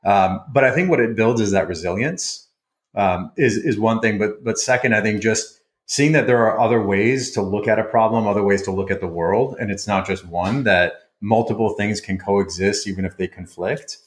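A man's voice: on the low side, moderate pace, reverberant. A man from Iran, a founder and guest, speaks thoughtfully with many restarts on a panel. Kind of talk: reflective panel chat.

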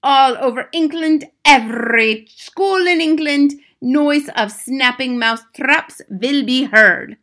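A woman's voice: high-pitched, slow, hardly any pauses, pushed and bright, pitched above the performer's own voice, full character voice. A woman voicing a wicked, bloodthirsty old witch leader in a storybook, commanding and triumphant, speaking in broken, foreign-sounding grammar. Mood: shrieking, gleeful.

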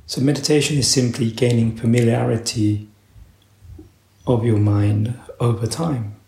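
A man leading a guided meditation as a teacher, speaking in a slow, measured way with long pauses. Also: mid-range voice, steady tone, gravelly, dark tone, echoey room.

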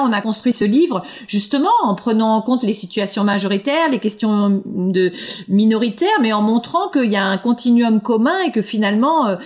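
A woman speaking as an education researcher in an interview. She talks hesitantly, with frequent fillers and repeated words. Her voice sits in a middle pitch. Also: darkish tone. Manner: hesitant, many fillers and restarts. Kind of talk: interview answers.

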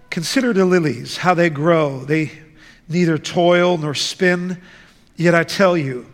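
A man who grew up in the Netherlands, preaching a sermon and reading scripture in a slow, deliberate way. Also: on the high side, a bit bright. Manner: slow, deliberate, measured delivery. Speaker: guest preacher. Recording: reverberant church hall.

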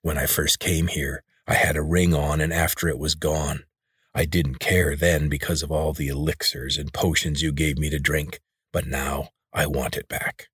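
A man voicing a blind, menacing male warrior leader with a rasping voice. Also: a bass voice, level tone, normal speed, fairly bright tone, no room sound, studio quality, heavily acted. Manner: cold, threatening.

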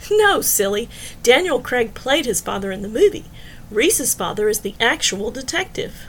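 Wry young female bartender, voiced by a woman narrator: knowing, teasing, matter-of-fact, fairly high voice, audiobook dialogue. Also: very animated, moderate pace, fairly bright tone, slight background noise. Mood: informative.

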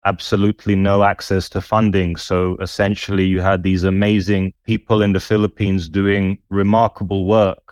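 A man in his thirties, raised in the UK, answering interview questions in a measured, reflective way. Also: low voice, flat delivery, a little mellow, no echo, clean studio sound. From born in former Yugoslavia, raised in the UK. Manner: measured, reflective, with some fillers. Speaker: podcast guest, nonprofit founder.

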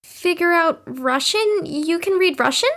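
A woman's voice: very high-pitched, animated, a little slow, a bit bright, heavily acted. A woman playing a kind, eager young girl in an audio drama. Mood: friendly, curious.

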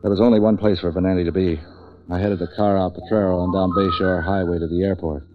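An actor playing a tough, sardonic man for hire with an American accent, clipped and deadpan, in 1940s radio drama style. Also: deep voice, quick pace, music in the background, close to an everyday voice. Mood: brooding narration. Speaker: lead actor and narrator. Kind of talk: 1940s hardboiled radio acting. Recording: muffled lo-fi 1940s radio recording.

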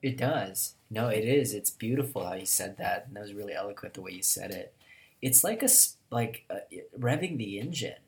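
A young man with a hoarse, deep voice from a cold, hosting a casual chat with upbeat enthusiasm. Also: animated, normal speed. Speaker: podcast host.

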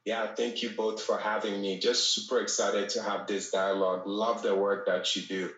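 A man's voice: on the low side, little pitch movement, moderate pace, fairly bright tone. A man from Ghana, a podcast guest telling his story casually, with fillers and restarts. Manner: conversational, frequent fillers and restarts. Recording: echoey room, distant from mic.

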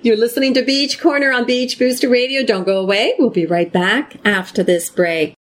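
A woman's voice: fairly high pitch, moderate pace, noisy background. A Canadian woman hosting a community radio show, presenting to her listeners and guest.

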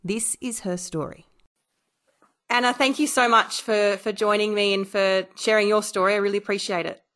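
A woman's voice: mid-range voice, steady tone, normal speed, fairly bright tone. Upbeat woman podcast host. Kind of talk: podcast hosting, scripted intro then chat.

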